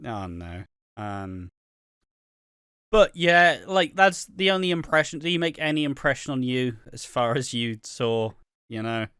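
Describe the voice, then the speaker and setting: A man's voice: mid-range voice, animated, a little slow, bright, forceful tone, smooth, no room sound, studio quality. A man co-hosting a casual podcast about a TV soap, swearing freely and acting out characters' lines. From United Kingdom. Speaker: podcast co-host.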